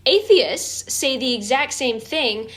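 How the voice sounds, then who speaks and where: Woman with a fairly high voice, reading out debate points, scripted. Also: lively intonation, slow pace, a bit bright.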